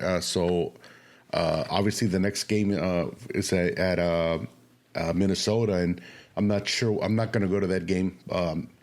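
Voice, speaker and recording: Hoarse guy on a podcast, lowish voice, rambles with lots of uhs. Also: a little mellow. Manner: rambling, many fillers. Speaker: podcast co-host. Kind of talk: casual chat.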